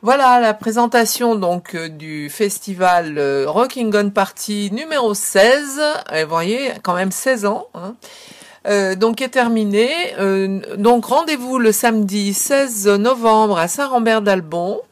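A woman from France hosting a local radio music show, talking casually and pausing often with fillers and restarts. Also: medium pitch, expressive. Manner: hesitant, many fillers and restarts. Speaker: radio host.

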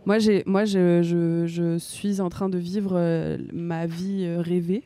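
A woman's voice: lowish voice, level tone, slow. A young woman from France, a singer-songwriter, speaks casually and a little hesitantly in an interview, with frequent fillers and restarts. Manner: soft-spoken and hesitant, with many fillers and restarts.

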